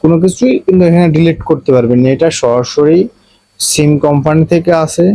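A man's voice: on the high side, fairly quick, dark tone, dry recording, low-quality recording. A man with a Bangladeshi accent narrating a casual step-by-step phone tutorial, explaining repetitively and addressing viewers directly. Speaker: tech tutorial host.

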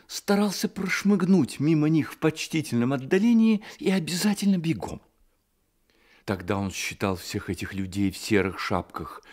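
A man narrating a literary radio drama as an expressive storyteller. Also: medium pitch.

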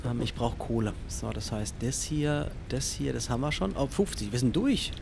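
A man's voice: medium pitch, lively intonation, normal speed, creaky, noisy background. Chatty man thinking aloud while gaming. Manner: thinks aloud, chatty, talks to himself and viewers. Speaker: let's play host. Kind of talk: casual gaming commentary.

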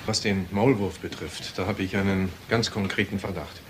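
A man's voice: low-pitched, steady tone, normal speed, noisy background, natural voice. An actor playing an authoritative police superior in a radio crime drama, pressing and insinuating as he talks. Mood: accusing. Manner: pressing, insistent.

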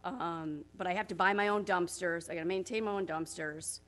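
A property manager with a loud voice, presenting figures at a town council work session over a room microphone in council chambers. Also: female voice, fairly low pitch, fairly even intonation, fairly quick. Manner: plainspoken, talks through her figures with frequent "so what I did".

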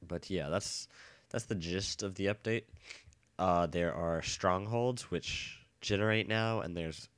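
A young man with a bad cold and a nasal, stuffy voice, chatting casually and rambling excitedly while playing a game. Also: sits low, slow pace, clean tone, no echo. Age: young adult. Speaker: let's play gamer. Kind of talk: casual gameplay commentary. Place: dorm room.

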